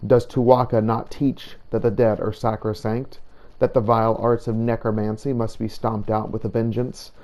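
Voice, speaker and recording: A man voicing a solemn, devout warrior who hunts the undead, narrating a game journal in measured, formal words. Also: on the low side, level tone, a little mellow, close to an everyday voice. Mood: solemn, brooding. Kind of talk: in-character journal narration over a video game. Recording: noticeable background noise.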